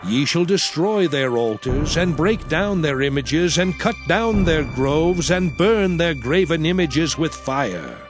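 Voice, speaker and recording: Slow, grave male scripture reader. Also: on the high side, lively intonation, music in the background. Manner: slow, grave, emphatic declamation. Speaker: narrator. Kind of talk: dramatized King James scripture reading.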